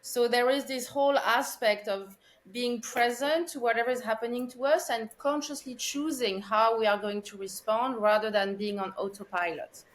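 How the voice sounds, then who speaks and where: French-accented woman, measured guest. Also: on the high side, steady tone, rough recording. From France. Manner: thoughtful, measured, with frequent fillers. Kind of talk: podcast interview.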